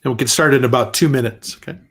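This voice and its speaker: Man, professor, hosting an online class, halting delivery, lots of fillers. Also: mid-range voice, a bit bright. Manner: hesitant, many "uh" fillers. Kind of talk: class introduction.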